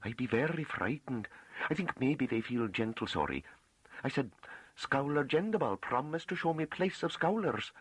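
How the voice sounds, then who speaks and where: A man voicing a timid yet fierce young peasant farm woman for an audiobook, breathy and earnest, in rustic broken speech. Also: mid-range voice, animated, normal speed, dry recording, voice pushed up, low-quality recording. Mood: bashful, frightened.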